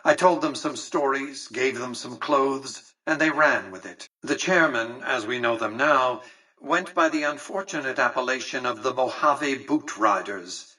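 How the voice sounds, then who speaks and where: An actor playing an older, imperious tycoon in a video game, with a pompous, precise, dryly sardonic delivery. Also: male voice, medium pitch, unhurried, pushed and bright, breathy edge, recorded from a distance, poor audio. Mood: lecturing, aloof. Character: imperious, calculating tycoon ruler of a casino city, condescending and dry. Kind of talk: video game character dialogue.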